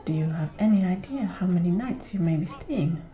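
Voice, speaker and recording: A woman playing a gracious, courteous innkeeper in a soft-spoken fantasy ASMR roleplay, with formal, unhurried phrasing. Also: on the low side, animated, dull audio, lightly acted. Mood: gentle, attentive. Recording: roomy, echoing sound.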